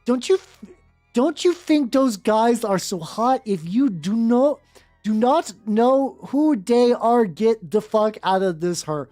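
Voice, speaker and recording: A woman's voice: on the high side, animated, slow, mellow, faint music behind, voice pushed up. A woman voicing a huffy, angsty goth teenage girl from a fanfiction story, emphatic and melodramatic. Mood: emphatic, bratty.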